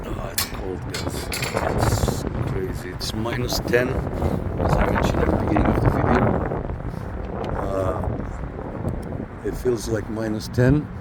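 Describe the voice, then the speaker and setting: Man, lowish voice, informal video host, hands-on review, chatty direct address, clear background noise from outdoors and car. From Canada. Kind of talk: casual hands-on product review. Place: outdoors in a parking lot and inside a parked car. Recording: noisy outdoor recording with car sounds.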